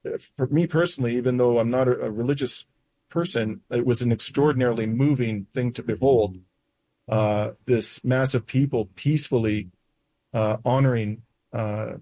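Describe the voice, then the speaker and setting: A man in his sixties with a North American accent recounts events in an interview, pausing often with uhs and restarts. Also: mid-range voice, fairly even intonation, dark tone, dry recording, rough recording. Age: 60s. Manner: halting, with frequent uhs and restarts. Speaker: interview guest.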